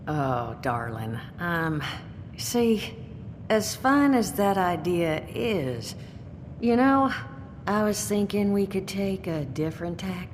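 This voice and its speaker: An actress playing a sly, scheming survivor who talks in a casual, smooth way. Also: female voice, fairly low pitch, lively intonation, takes it slowly. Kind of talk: video game dialogue. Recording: long reverb with clear noise.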